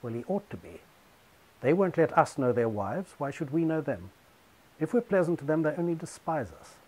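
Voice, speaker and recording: A haughty British army wife, as a male narrator reads her. She is snobbish and aggrieved, making clipped, indignant complaints. The voice is slightly husky, in the manner of a literary storybook reading. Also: a little high, big pitch swings, moderate pace, darkish tone, pitched above the performer's own voice, rough recording. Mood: haughty grievance.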